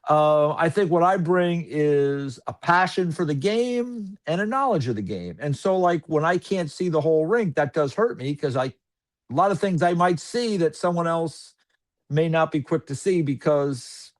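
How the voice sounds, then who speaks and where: A man who calls hockey games, chatting casually on a podcast in a rambling, filler-heavy, animated way. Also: fairly high pitch, moderate pace. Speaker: hockey broadcaster guest.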